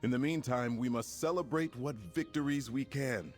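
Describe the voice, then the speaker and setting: An actor playing a resolute, formal male commander in a fantasy video game, speaking in a measured, stately way over music. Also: mid-range voice, close to an everyday voice. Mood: formal resolve. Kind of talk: scripted game dialogue.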